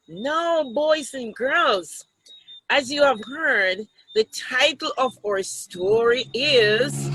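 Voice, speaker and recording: A woman with a Jamaican accent reading a story aloud to children, expressive and teacherly, with asides to the listeners. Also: fairly high pitch, dry recording, rough recording.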